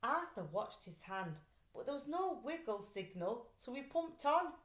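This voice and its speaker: A woman reading a children's storybook aloud like a teacher, in an expressive, deliberate way. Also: high voice. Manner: animated, deliberate storytelling delivery. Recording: roomy, echoey sound.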